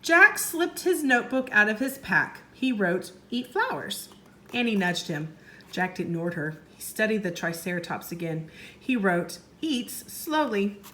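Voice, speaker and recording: A woman teacher reading a story aloud to young children in an expressive way, pausing to explain and ask questions. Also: on the low side. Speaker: first grade teacher.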